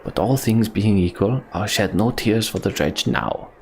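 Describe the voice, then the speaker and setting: A man voicing a bleak, fatalistic male warrior in a game, flat and weary. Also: on the low side, unhurried, slight background noise.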